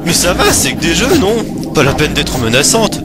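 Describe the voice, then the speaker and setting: A performer voicing a hot-headed, suspicious teenage boy in an amateur anime dub, indignant, sarcastic and expressive. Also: medium pitch, moderate pace, heavy background noise, close to an everyday voice. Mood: protesting.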